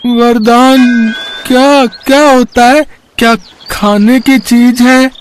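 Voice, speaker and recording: A man voicing a starving, feeble young village man in an animated story, talking in a weak, whimpering, drawn-out way. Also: very high voice, slight background noise. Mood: weak, dazed.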